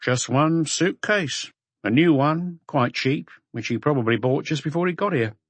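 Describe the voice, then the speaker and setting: A man's voice: on the high side, moderate pace, no echo, pitched above the performer's own voice, lightly acted. A man voicing a tired, slovenly hotel owner with blunt, curt answers, in an audiobook. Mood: gruff, weary. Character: unshaven, tired, gruff male hotel owner.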